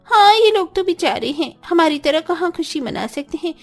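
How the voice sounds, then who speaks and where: A woman's voice: very high-pitched, expressive, moderate pace. A woman playing a rich, doting but mocking mother in a cartoon story, boastful and condescending. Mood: mocking, smug. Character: rich, smug, mocking young sisters-in-law and doting mothers.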